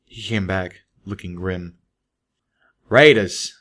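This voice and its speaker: Male audiobook reader, fairly low pitch, scripted first-person narration. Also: normal speed.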